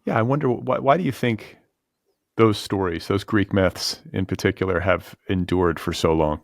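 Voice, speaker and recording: A man's voice: sits low, normal speed, creaky, no echo, clean studio sound. A man hosting a podcast in a casual interview style, musing informally and circling around his point. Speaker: podcast host.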